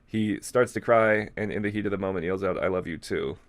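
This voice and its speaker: A man's voice: sits low, a little fast, dry recording. A man hosting a comedic read-aloud of fan fiction, slipping in mocking asides and ad-libbed jokes. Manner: mocking, ad-libs jokes while reading.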